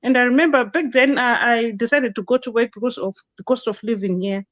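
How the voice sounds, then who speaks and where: A woman's voice: fairly high pitch, brisk, a bit bright, dry recording. Chatty woman hosting a live interview. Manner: chatty, frequent restarts and fillers. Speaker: host, digital business consultant.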